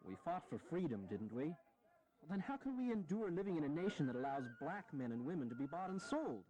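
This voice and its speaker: An aging American statesman of the founding era in a historical audio drama. His mellow voice moves freely up and down, wry and self-deprecating, with blunt conviction underneath. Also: fairly high pitch, very animated, brisk, muffled recording. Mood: impassioned. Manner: sardonic, earnest, blunt, given to chuckling.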